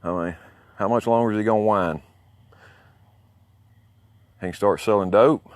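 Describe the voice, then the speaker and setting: A man's voice: on the low side, moderate pace, no room sound. A man with a Southern Alabama accent rambling casually in a boastful, folksy, foul-mouthed way as he talks outdoors. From southern United States. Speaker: CB radio hobbyist filming his own video. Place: outdoors near his home.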